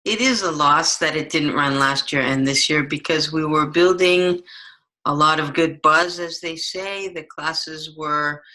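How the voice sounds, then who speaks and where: A middle-aged woman who teaches English as a second language, answering interview questions in a measured, explanatory way. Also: low-pitched, pushed and bright. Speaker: ESL teacher.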